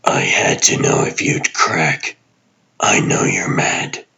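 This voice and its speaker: A man voicing a gruff, half-crazy vigilante superhero in a comic dub, terse and deadpan. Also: deep voice, slow pace, lo-fi sound, very noisy, pitched below the performer's own voice, heavily acted. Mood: low, apologetic.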